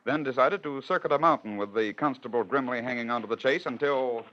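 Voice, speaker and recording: An American man with a mid-pitched voice narrates as a 1940s radio host. His delivery is theatrical and wry, heard through a dull, lo-fi old broadcast recording. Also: fairly quick, bright, forceful tone, a little hiss or hum.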